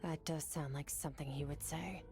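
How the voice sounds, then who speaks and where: An actress playing a curious, composed young adventurer companion in a game. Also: female voice, low voice, fairly even intonation, moderate pace, music in the background, natural voice. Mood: curious, dry. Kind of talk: game dialogue.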